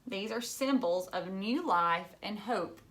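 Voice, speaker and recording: A woman's voice: mid-range voice, slow, fairly bright tone, slight background noise. Woman reading a picture book to children. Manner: explains simply, addressing young listeners directly. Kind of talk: read-aloud storybook narration with chatty asides.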